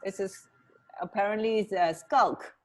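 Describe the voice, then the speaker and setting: A woman co-hosting a radio show in casual, halting, giggly banter. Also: mid-range voice, darkish tone. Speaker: radio co-host.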